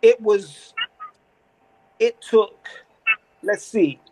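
A man's voice: sits high, drawn-out words, darkish tone, rough recording. A man in his forties talking casually and earnestly in a remote conversation, rambling with restarts and slang. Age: 40s.

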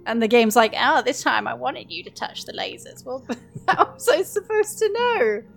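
A woman's voice: fairly high pitch, very animated, normal speed, gravelly. A woman in casual gaming podcast chat, acting out little quotes as she tells things.

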